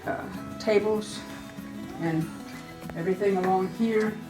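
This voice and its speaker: A woman in her eighties giving an informal, halting, plainspoken guided tour of the small museum and shop she keeps. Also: mid-range voice, fairly even intonation, over music, low-quality recording. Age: 80s.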